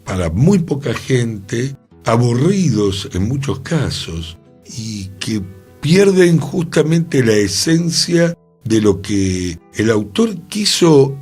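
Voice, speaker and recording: A man with a Rioplatense accent co-hosting a cultural radio discussion, speaking deliberately and repeating words for emphasis. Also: medium pitch, a little mellow, breathy edge. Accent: Rioplatense Spanish. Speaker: radio show co-host.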